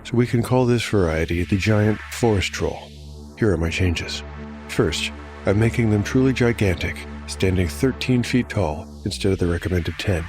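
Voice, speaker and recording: Male narrator and solo game master; lowish voice, scripted fantasy storytelling, prominent music bed. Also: normal speed, a little mellow. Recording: music under voice. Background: clear music.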